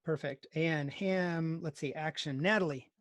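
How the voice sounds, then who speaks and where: A man's voice: a little high, measured pace, no room sound. A man teaching conversationally on a video call, organizing his students with polite encouragement. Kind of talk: conversational online class instruction.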